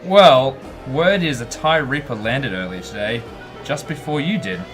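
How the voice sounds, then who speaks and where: A male actor voices a friendly but smug gambler in a space audio drama. His mid-pitched voice is confident and teasing, with music clearly playing behind it. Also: expressive, measured pace, close to an everyday voice. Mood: curious, friendly.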